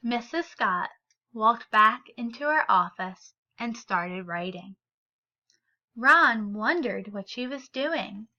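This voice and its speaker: A woman reading a children's picture book aloud with deliberate, expressive phrasing for young listeners. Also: on the high side, highs cut off. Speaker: read-aloud storyteller.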